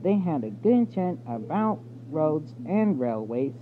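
Storybook reader, male, higher voice, slow halting delivery, phone-like noisy recording. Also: very animated. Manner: halting, stumbling reading. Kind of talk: reading a children's storybook aloud. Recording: tinny phone-like audio with background noise.

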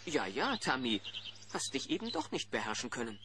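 A man's voice: mid-range voice, very animated, moderate pace, heavy background noise, lightly acted. A man playing the wry narrator of a children's audio drama, commenting on the characters as he tells it. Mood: wry storytelling. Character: storyteller who comments wryly on the characters.

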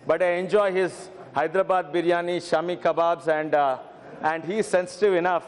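Middle-aged guy, speech in parliament, slightly high voice, echo of a big hall. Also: fairly even intonation, moderate pace. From Telangana, India. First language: Telugu. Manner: earnest, repeats "madam" often, brief stammers. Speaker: member of parliament. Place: large parliament chamber. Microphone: desk microphone. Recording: reverberant parliament chamber.

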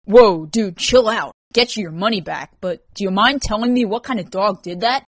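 A woman's voice: mid-range voice, moderate pace, dry recording, close to an everyday voice. Woman as young male cashier, sardonic, laid-back, audio story character, dry recording. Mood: wary, placating.

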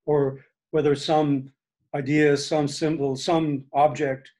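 An older man whose first language is Hungarian, chatting on a video call in a reflective, halting way with many fillers. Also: a little high, level tone, darkish tone. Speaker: discussion group member. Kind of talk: casual video call chat. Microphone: video call laptop mic.

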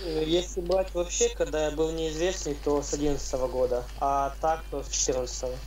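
Young male guest, rambly storyteller, slightly high, echoey distant recording. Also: fairly even intonation, brisk, a little mellow. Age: young adult. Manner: rambling, loose storytelling with many fillers. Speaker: interview guest, game player. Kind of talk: casual interview.

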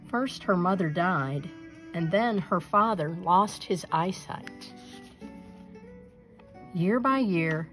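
A woman reading a children's folktale aloud in an expressive, deliberate storytelling manner. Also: mid-range voice. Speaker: storybook reader. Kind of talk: scripted narration, children's folktale read aloud.

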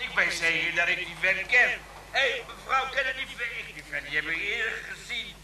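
A piercing, distant radio-play voice. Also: male voice, high voice, animated, moderate pace, pushed and bright, roomy sound, slight background noise, full character voice. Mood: drunken, insistent. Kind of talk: Dutch radio play acting. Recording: distant, humming old radio recording.

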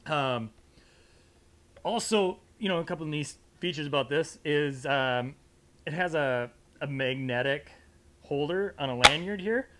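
Higher-pitched American man reviewing gear. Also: measured pace, a bit bright, a little hiss or hum. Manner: conversational, informal. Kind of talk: casual product review to camera. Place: indoor studio with fabric backdrop.

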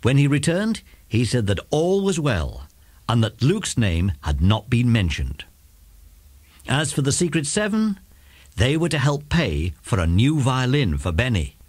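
Male narrator, children's audiobook, highly animated intonation, husky tone, expressive. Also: a little slow, dry recording. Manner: expressive storytelling. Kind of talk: scripted children's story narration.

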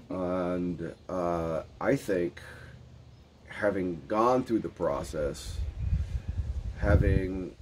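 A man in his fifties, born in Germany, speaking in a relaxed, talkative way with frequent restarts, his nose a little stuffy. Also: low voice, drawn-out words, long pauses, background noise. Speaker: co-owner of a marriage agency. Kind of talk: casual video introduction. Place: covered porch outdoors.

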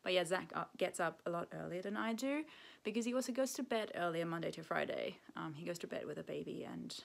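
A young woman talking to the camera in a relaxed, chatty way as she vlogs from her rural homestead. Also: fairly low pitch, quick pace, creaky. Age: young adult. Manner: relaxed, chatty, with soft laughs. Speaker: homesteading vlogger. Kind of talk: casual vlog talk to camera. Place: outdoor shelter on a rural homestead.

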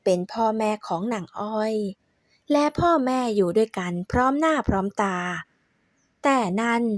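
High-voiced woman telling a folk tale. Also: no echo. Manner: steady, measured storytelling. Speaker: storyteller.

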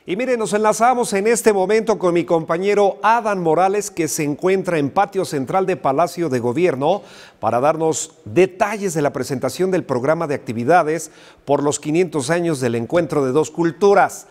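Male news anchor, forties, somewhat high voice, studio mic, brisk newscast reading. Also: darkish tone. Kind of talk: evening newscast presenting.

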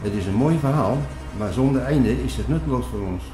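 A man's voice: medium pitch, lively intonation, fairly quick, over music, lightly acted. Man playing a formal prior, echoey room. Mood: businesslike, dismissive. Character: courteous, businesslike male prior on a quest. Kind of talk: Dutch audio drama acting.

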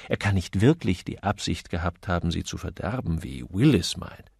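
A man's voice: low voice, normal speed, vocal fry, darkish tone, husky, no echo, clean studio sound. A man narrating a crime novel in a scripted audiobook style, reading the dialogue expressively.

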